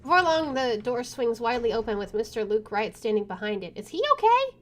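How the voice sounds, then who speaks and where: Young woman host, game reading with quick reactions, higher voice, light background hiss. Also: sing-song. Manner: jumpy, exclaiming, chatty. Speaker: gaming channel host. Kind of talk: reading a visual novel aloud with reactions.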